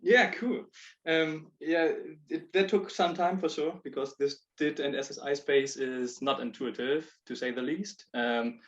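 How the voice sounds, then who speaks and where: A man presenting his project on a video call, talking in halting bursts with frequent restarts and laughs between points. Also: a little high, fairly bright tone. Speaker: project proposer. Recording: dull lo-fi call audio.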